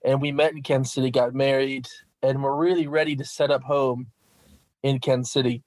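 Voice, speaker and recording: Middle-aged man, American Midwest accent, chatty and self-deprecating guest, mid-pitched voice. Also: a little fast, dry recording, low-quality recording. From Minnesota, USA. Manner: animated, self-deprecating, lots of fillers. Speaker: vicar and guest. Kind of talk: casual interview storytelling.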